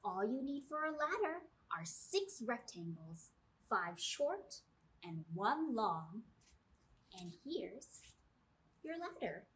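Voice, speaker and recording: A woman's voice: medium pitch, a little hiss or hum, rough recording. A woman in her thirties hosting a children's storytime, reading aloud in simple phrases and asking the young listeners guiding questions. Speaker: children's librarian, storytime host. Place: small indoor room, seated before camera.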